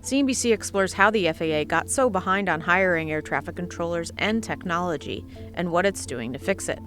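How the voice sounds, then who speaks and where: A woman's voice: on the low side, lively intonation, a little fast, a bit bright, faint music behind. A woman reading scripted narration for a news documentary report.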